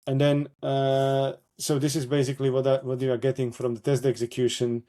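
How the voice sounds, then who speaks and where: A man with a Slovak accent, presenting a live software workshop, talking through a demo with many fillers and self-corrections. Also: mid-range voice, completely flat delivery, fairly quick.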